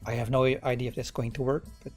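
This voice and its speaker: A middle-aged Dutch man with a Dutch accent, live-coding on a stream and thinking aloud, relaxed and halting with many fillers and restarts. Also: medium pitch, faint music behind. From Netherlands.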